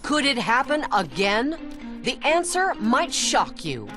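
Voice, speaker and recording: A woman's voice: high voice, huge pitch swings, slow pace, music in the background, exaggerated voice. An actress playing a slick, haughty female TV news host in a cartoon game, with polished, condescending presenter delivery. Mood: dramatic, polished. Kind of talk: dramatic TV news interview.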